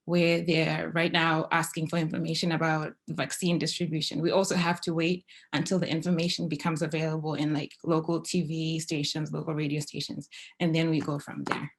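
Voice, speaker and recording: A young woman from Zimbabwe speaking as a panelist, explaining steadily with frequent pauses and fillers. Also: sits low, flat delivery, husky. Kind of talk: panel discussion over video call.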